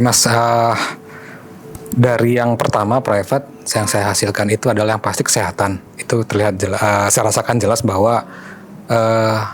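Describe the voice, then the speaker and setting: A man giving a semi-casual spoken testimonial as a training participant. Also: fairly low pitch. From Bogor, Indonesia. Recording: clear background noise, room ambience.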